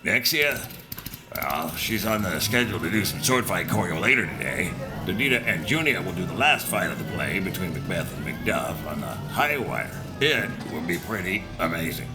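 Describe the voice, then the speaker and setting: A man plays a careful, humble prop armorer in an audio drama. His voice is deep yet lively in its rise and fall, rambling and a little hesitant. Music plays clearly behind him. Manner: self-effacing, hesitant, rambling. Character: modest, careful male circus prop armorer.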